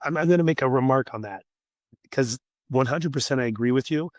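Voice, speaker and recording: A Canadian man being interviewed on a podcast, raspy as he loses his voice, thinking aloud with repeated words. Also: mid-range voice, brisk, no echo. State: losing his voice. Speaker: podcast guest, scaffolding company executive. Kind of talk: conversational interview.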